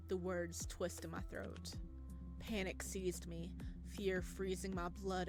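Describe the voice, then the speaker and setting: A woman reading a visual novel aloud with dramatic narration and exclamatory, spontaneous reactions. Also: fairly low pitch. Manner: expressive, exclamatory. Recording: game music behind the voice. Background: game music.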